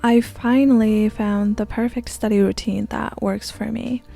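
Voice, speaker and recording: A woman in her twenties from Canada, narrating a language-learning routine in a soft, gentle, near-whispered voice-over. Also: a little high, steady tone, a little slow, vocal fry, soft background music. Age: 20s.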